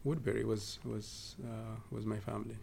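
A man from southern Ethiopia, a guest in a podcast interview, talking casually with many pauses and fillers. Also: fairly low pitch, little pitch movement, vocal fry, darkish tone, slight background noise. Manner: hesitant, many fillers and restarts.

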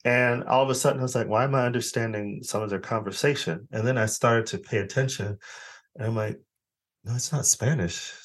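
A man's voice: mid-range voice, a little fast. A man hosting an interview, curious and encouraging toward his guest. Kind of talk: interview conversation.

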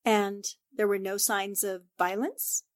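A woman's voice: mid-range voice, flat delivery, slow pace, close to an everyday voice. An actress playing a shrewd, composed newspaperwoman in 1940s radio drama style, probing and decisive. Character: inquisitive, self-assured female newspaper reporter, shrewd and composed.

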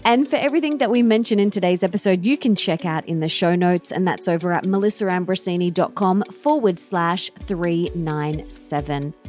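A woman's voice: mid-range voice, darkish tone. A woman speaking as a podcast host, with an effusive, enthusiastic way of introducing and interviewing.

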